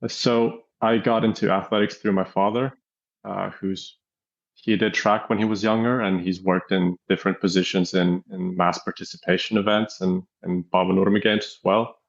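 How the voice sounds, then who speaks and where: A man in his thirties with a Finnish accent, giving an understated, halting, conversational account as an interview guest. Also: sits low, level tone, creaky. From Finland. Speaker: interview guest, athlete coordinator. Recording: dull, muffled audio.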